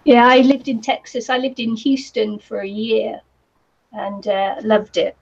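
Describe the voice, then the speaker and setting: A woman with a New Zealand accent chatting casually on a live craft stream, her voice croaky today, rambling with lots of ums and little laughs. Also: a little high, flat delivery, a little slow. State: croaky voice from the heat. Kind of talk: casual chat while crafting. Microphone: computer or camera mic with a fan nearby. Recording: slightly dull lo-fi sound.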